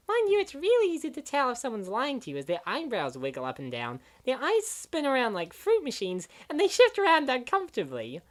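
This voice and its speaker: Woman playing a high-pitched, snarky gamer girl. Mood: mock enthusiasm. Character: snarky, opinionated young female video gamer. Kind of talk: comic dub dialogue, gaming banter.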